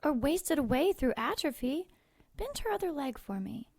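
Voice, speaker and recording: A woman's voice: high-pitched, sing-song, moderate pace, a little mellow. A woman playing a devout, caring nun in an audio drama, earnest, pious and given to scolding. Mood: chiding.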